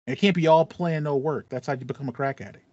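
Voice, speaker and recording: A man's voice: fairly high pitch, fast talker, a little mellow, dry recording. An American man in his thirties co-hosting a casual gaming podcast, talking in a chatty, playful, slangy way. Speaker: podcast co-host. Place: small home room with acoustic foam, indoors. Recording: slightly dull, dry home recording.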